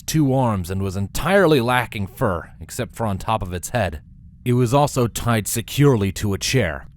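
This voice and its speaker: A man narrating a scripted story in a dramatic, expressive storytelling manner. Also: medium pitch.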